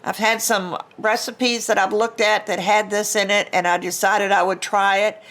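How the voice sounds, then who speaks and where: A woman's voice: medium pitch, steady tone, normal speed, fairly bright tone. An older woman with a congested, stuffy nose, chatting casually and rambling a little as she unboxes a craft kit at home on her camera mic. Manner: chatty and rambling, with restarts and small laughs. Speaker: vlogger unboxing a craft subscription box.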